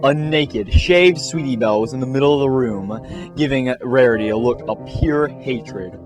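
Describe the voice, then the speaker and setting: A man with a medium-pitched voice reads a silly fan story with mock drama. He slips into casual asides, and music plays clearly behind him. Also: moderate pace. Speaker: narrator. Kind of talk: comic fan fiction storytelling.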